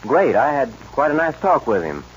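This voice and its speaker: An actor playing a brisk, commanding cowboy ranch foreman in a 1940s radio western style. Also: medium pitch, very animated, husky. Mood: businesslike. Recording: dull, noisy old radio recording.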